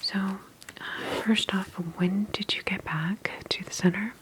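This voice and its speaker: A woman doing a soft-spoken ASMR roleplay as a calm healthcare specialist, whispering gently and attentively in a breathy, unhurried, reassuring professional way. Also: mid-range voice, poor audio.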